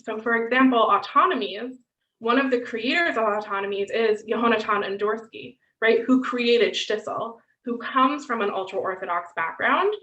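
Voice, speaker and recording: A woman's voice: on the high side, bright, forceful tone. A woman giving an online lecture and taking questions, talking in a loose conversational way with frequent "um" and "right?" tags. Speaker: guest lecturer. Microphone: video call. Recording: distant, roomy, dull video-call audio.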